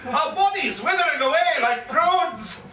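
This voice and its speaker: An actor playing an excitable Irish pub yarn-spinner, theatrically repeating himself with relish in a live comic stage monologue. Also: male voice, very high voice, unhurried, exaggerated voice. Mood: dramatic, relishing. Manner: theatrical, repeats phrases with relish and dramatic emphasis. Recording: echoey live pub recording, distant mic, faint noise.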